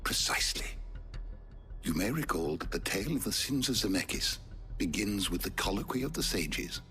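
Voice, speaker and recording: An actor playing a learned old scholar in a video game, speaking in a formal, deliberate, erudite manner. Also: male voice, low-pitched, fairly bright tone. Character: learned old male scholar, measured and fond of lore. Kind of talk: dramatic video game acting, expository.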